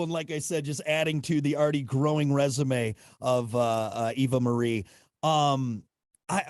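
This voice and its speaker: A man hosts an interview in a chatty, casual way, often repeating his phrases. His voice sits somewhat high for a man. The sound is dry and close. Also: darkish tone, dry recording. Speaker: show host, interviewer.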